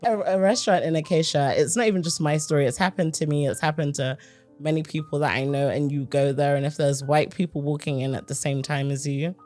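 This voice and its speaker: A woman's voice: sits low, fairly even intonation, fairly quick, a little mellow, soft background music. Frank British woman in a podcast chat. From United Kingdom. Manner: frank and conversational, many fillers like "like". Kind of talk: casual podcast discussion.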